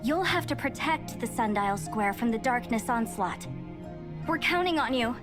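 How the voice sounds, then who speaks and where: A woman's voice: fairly high pitch, expressive, normal speed, close to an everyday voice. A woman playing a polite, formal young official giving earnest briefings in a video game, with music behind her. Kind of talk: scripted game dialogue. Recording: game dialogue over background music.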